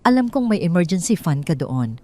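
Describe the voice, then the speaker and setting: A betrayed wife with a secret plan, played by a woman storyteller. She speaks calmly and tenderly, feigning innocence in a melodramatic audio story. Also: on the low side, fairly quick. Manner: calm, tender, innocent-sounding. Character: calm, scheming betrayed wife feigning sweetness.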